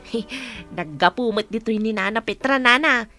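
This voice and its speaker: A woman's voice: on the high side, moderate pace, bright, forceful tone, soft background music. A woman playing a chatty, gossipy village neighbour in an Ilocano radio comedy drama. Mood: gossiping.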